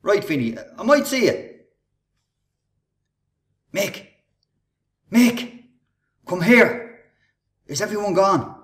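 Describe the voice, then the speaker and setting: Male actor as bullying Irish ringleader, husky and swooping in pitch, sarcastic, menacing, radio drama. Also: big pitch swings, slow pace, pauses often, fairly bright tone, heavily acted. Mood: impatient, bossy. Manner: taunting, sarcastic, bossy. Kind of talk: radio play acting.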